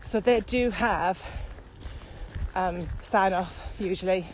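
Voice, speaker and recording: A woman's voice: mid-range voice, slow pace. A woman co-hosting a podcast, explaining things in casual conversation while out on a walk. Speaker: podcast co-host and virtual training consultant. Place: outdoors on a walk. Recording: very noisy outdoor recording, traffic and ambience.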